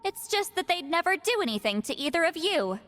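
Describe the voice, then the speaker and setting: A woman playing a proud, sharp-tongued high priestess who flusters easily, in a video game. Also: big pitch swings, a little fast, full character voice. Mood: confident. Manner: bossy, brash, theatrical. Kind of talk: video game cutscene voice acting.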